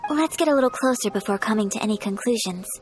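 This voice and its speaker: A woman voicing a poised, gentle young noblewoman in a video game, soft-spoken and formal. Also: a little high, fast, natural voice. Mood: earnest. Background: faint game music.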